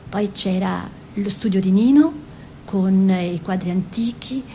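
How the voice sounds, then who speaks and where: A woman's voice: measured pace, mellow, lightly acted. An actress playing a spirited, plain-spoken peasant-born woman painter in a conversational, dreamy piece of theatrical radio storytelling. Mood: reminiscing. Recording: noisy with low rumble.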